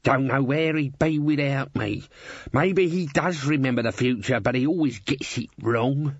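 A man's voice: mid-range voice, unhurried, breathy edge, no room sound, lo-fi sound, pitched above the performer's own voice. A man voicing a grumpy, put-upon old manservant in a storybook, muttering in colloquial exasperation. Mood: muttering, exasperated.

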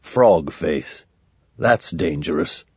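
A laconic, watchful tough guy played by a male narrator, low and husky. He speaks in clipped fragments, in a very dry fantasy audiobook recording. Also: animated, slow, no room sound, lo-fi sound, exaggerated voice. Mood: flat warning.

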